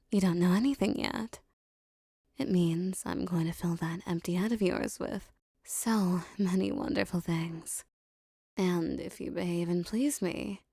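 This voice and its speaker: A woman playing a regal, possessive empress who coaxes and teases a captive servant in soft, condescending tones, often near a whisper, in an intimate roleplay audio. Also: on the low side, vocal fry, breathy edge, no room sound, clean studio sound, exaggerated voice.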